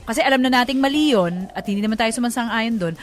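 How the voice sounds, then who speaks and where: A Filipino woman talks as a radio co-host in a slightly high voice. She is conversational and expressive, often posing questions. English phrases slip into her casual advice. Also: fast. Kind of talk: casual banter and love advice.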